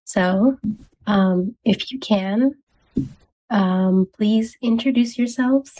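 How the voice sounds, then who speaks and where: A young woman from Thailand, hosting a student radio show. She reads a scripted intro, then asks questions casually with soft "um" fillers. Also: medium pitch, drawn-out words, low-quality recording. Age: young adult. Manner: friendly, with soft "um" fillers when asking questions.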